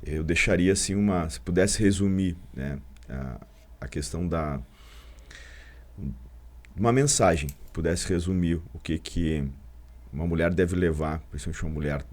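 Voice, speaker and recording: Man, deep low voice, earnest podcast guest. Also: darkish tone. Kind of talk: podcast discussion.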